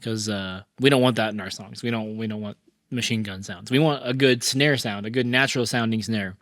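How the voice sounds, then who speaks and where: Hoarse man with a cold, chatty. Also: on the low side, brisk, fairly bright tone, no room sound, clean studio sound. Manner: chatty, many fillers and restarts. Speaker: mixing engineer tutorial host. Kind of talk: casual screen-recorded tutorial.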